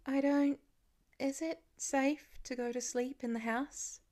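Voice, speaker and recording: A woman's voice: high voice, fairly even intonation, slow pace, darkish tone, voice pushed up. Woman as a tentative, slightly raised-voice young girl. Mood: uneasy. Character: hesitant, sheepish young daughter with some attitude.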